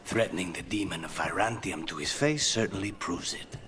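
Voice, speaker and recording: A deep-voiced, grave assassin acted by a man in a fantasy game. He is blunt and measured, with clear ambient noise around his voice. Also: natural voice. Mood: grave, composed. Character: grave, composed male assassin companion, blunt and resolute. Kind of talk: dramatic video game acting.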